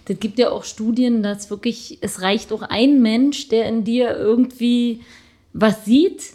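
A woman's voice: a little high, steady tone, measured pace, mellow. A woman hosting a casual conversation, responding with affirming interjections.

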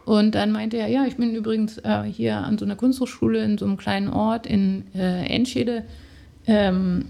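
A woman's voice: medium pitch, steady tone, normal speed, gravelly, dark tone. A middle-aged woman from Germany, a guest telling her story in casual conversation, hesitant with many fillers.

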